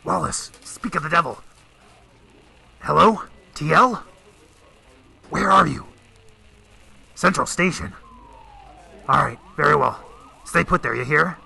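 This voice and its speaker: An actor playing a brusque, hard-boiled vintage police detective in an old-time radio drama, gruff and clipped with grandiloquent turns. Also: male voice, on the high side, animated, takes it slowly, long pauses, pushed and bright, full character voice. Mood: brisk.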